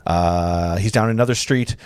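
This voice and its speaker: A man's voice: deep voice, moderate pace, a little mellow, clear, resonant tone, no echo. A man reading screenplay directions as the narrator of a table read, informally, with casual asides.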